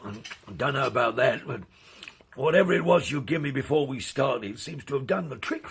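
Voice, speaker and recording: An actor playing a gruff, grumbling middle-aged sea skipper with a raspy, creaky voice and rough colloquial speech, in a radio drama. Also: male voice, mid-range voice, animated, normal speed, a little hiss or hum. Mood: wheedling, affable. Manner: colloquial, uneducated sailor's speech.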